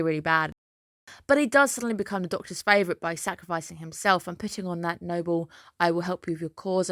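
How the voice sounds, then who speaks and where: A woman's voice: on the low side, a bit bright, dry recording, clean studio sound. A woman, a little under the weather, chatting casually and opinionatedly through an anime episode breakdown as the channel's host. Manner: opinionated, chatty, emphatic dislikes. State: slightly ill, under the weather.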